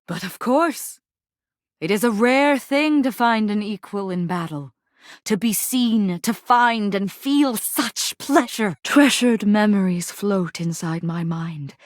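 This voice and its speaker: A woman's voice: medium pitch, dry recording, close to an everyday voice. Woman doing a proud warrior general for a game, grand old shakespearean speech, takes her time, very dry close recording. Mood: tender, grateful. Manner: formal, grandiose, poetic, solemn. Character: proud, honor-bound warrior general, fierce yet tender. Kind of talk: archaic, Shakespearean theatrical video game acting.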